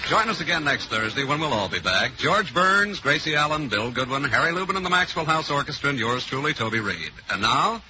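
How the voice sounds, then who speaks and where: A man's voice: a little high, big pitch swings, a little fast, few pauses. A man announcing ads and sign-offs on 1940s radio with polished, emphatic salesmanship. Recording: muffled 1940s radio broadcast with music.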